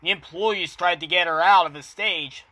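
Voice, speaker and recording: A man playing a chatty doctor on a casual, awkward phone call in an amateur short film, talking in a halting, matter-of-fact way. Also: sits high, bright, forceful tone, dry recording. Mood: casual, hesitant. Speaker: amateur voice actor.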